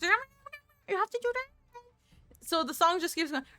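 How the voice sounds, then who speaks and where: A woman's voice: very high-pitched, animated, moderate pace, bright, forceful tone. A woman streaming a game from home into a desk microphone, chatting with viewers in a rambling, talkative way. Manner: chatty, rambling, answers chat aloud. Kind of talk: casual gaming stream chat.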